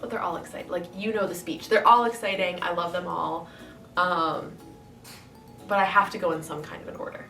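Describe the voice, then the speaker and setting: A woman's voice: on the low side, fairly even intonation, brisk, pushed and bright, distant mic. A chatty young woman from the Midwest rambles happily to the camera, her voice nasal and congested from a cold. She sits a little back from the mic in an echoey room, with faint music underneath. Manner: chatty, enthusiastic, rambling with fillers. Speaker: model horse collector and channel host. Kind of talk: casual vlog talk to camera.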